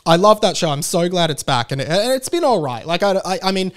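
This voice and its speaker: A man's voice: sits high, big pitch swings, a little fast, smooth. An Australian man hosting a casual solo podcast, chatting in a rambling, self-interrupting way with emphatic repetition. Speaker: podcast host.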